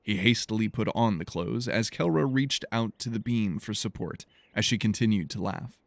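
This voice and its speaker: A man with a low voice and plenty of creak narrates fiction for an audiobook. His storytelling is measured, and the sound is dry and close. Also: dry recording.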